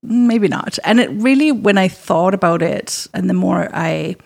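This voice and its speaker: A woman from Zimbabwe who works as a midwife, talking through her career in a meandering, thoughtful way with small restarts and soft laughs. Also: mid-range voice, measured pace, creaky. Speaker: midwife, interview guest. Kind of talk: casual conversational interview.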